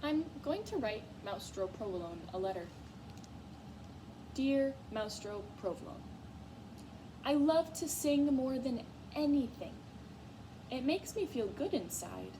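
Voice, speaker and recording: A woman acts out a young girl mouse from a storybook, high in pitch and full of spirit. She is earnest, stubborn and easily wounded, speaking with dramatic emphasis. There is clear noise on the recording. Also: sing-song, slow, pauses often, heavily acted. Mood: earnest, pleading.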